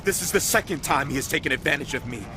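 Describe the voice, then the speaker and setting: A man's voice: mid-range voice, lively intonation, fast, background noise, natural voice. Man acting bitter, wildly swooping wronged son. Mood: bitter, outraged. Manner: impassioned, bitter. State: crying out. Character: wronged elder son, a hunter, bitterly wailing. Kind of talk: Bible audio drama acting.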